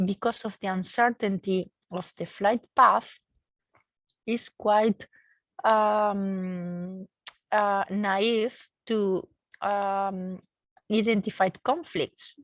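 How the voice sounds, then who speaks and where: A woman's voice: medium pitch, no echo. A woman research engineer giving a technical explanation in a seminar, searching for words and often repeating them as she goes.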